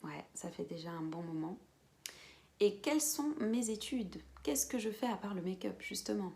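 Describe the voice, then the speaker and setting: A woman in her twenties from French Guiana, chatting casually to camera as a beauty vlogger, with quiet whispered asides. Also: mid-range voice, lively intonation, moderate pace, vocal fry. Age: 20s. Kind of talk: casual vlog chat to camera.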